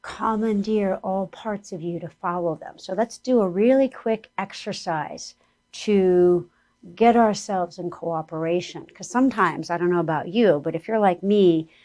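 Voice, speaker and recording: A woman with a hoarse voice leading a gentle, earnest guided spiritual exercise as a spiritual teacher. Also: on the low side. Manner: slow, gentle and soothing guidance; earnest, speaks about Spirit and angels.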